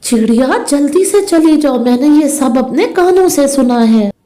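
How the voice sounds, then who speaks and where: A woman's voice: high-pitched, expressive, normal speed, warm tone, voice pushed up. A woman voicing an anxious male parrot who gives urgent warnings in a children's cartoon. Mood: urgent alarm. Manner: urgent, alarmed. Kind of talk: Hindi children's cartoon dubbing.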